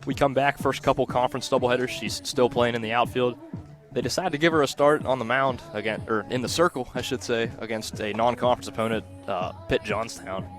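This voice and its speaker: A man giving conversational color commentary and analysis on a radio softball broadcast. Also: medium pitch, fairly even intonation, fairly quick, soft background music.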